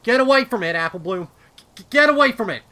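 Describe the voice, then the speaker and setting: Man as a panicked, high-pitched farm mare. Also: expressive, fast. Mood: panicked, shouting. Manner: forceful, urgent, stammering. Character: panicked protective farm mare, big sister pony.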